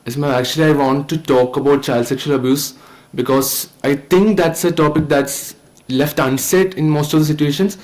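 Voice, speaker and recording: Indian guy about 19, kinda high voice, earnest stumbling thank-you speech. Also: steady tone, a little mellow. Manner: earnest, halting. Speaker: student filmmaker. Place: event stage at a film festival.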